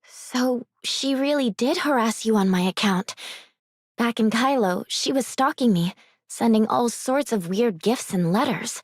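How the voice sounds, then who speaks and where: A young anime game heroine who is guarded and wearily blunt. Her voice is a bit high with a husky, breathy edge. The recording is close and dry. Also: a little slow, dry recording. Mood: exasperated.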